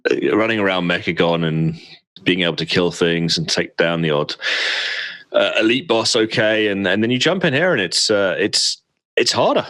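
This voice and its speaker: Male guest, deep-voiced, hesitant speaker, dry close mic. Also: animated, a bit bright, dry recording. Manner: halting, repeats words as he thinks. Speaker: podcast guest. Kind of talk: casual gaming podcast chat.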